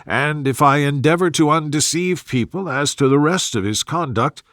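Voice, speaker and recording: A man voicing a sensible, discreet young woman in a storybook reading, reasoning in measured phrases. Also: a little high, a bit bright, no echo, studio quality. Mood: resolute, wry.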